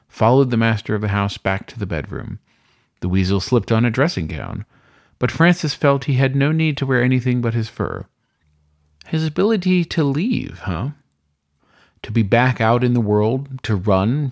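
A man's voice: on the low side, darkish tone, no room sound, studio quality. A man narrating a scripted short story in a dramatic storytelling style.

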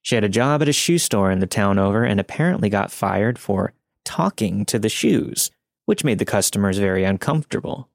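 A man's voice: lowish voice, animated, brisk, gravelly, no echo, studio quality. A man reading a scripted first-person spooky story as a podcast narrator. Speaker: podcast host reading listener stories.

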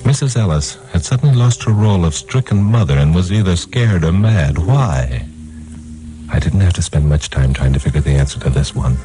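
A man's voice: low voice, lively intonation, a little fast, dark tone, low-quality recording. An actor playing a wisecracking, tough crime-fighter narrating his case in a 1950s radio drama, hard-boiled and sardonic. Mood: grim, hard-boiled. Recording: old 1950s radio recording, music underneath.

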